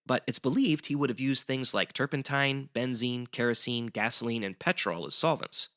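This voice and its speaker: A man's voice: medium pitch, normal speed, fairly bright tone. A man as a guest explaining history in semi-scripted educational banter, articulate and wry.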